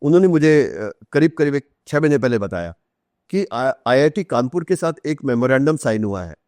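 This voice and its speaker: A man's voice: mid-range voice, big pitch swings, moderate pace, a little mellow, no room sound. A man with an Indian accent explaining things as a podcast guest, articulate, repeating phrases for emphasis and mixing in English terms. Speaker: author guest. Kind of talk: podcast interview, explanatory talk.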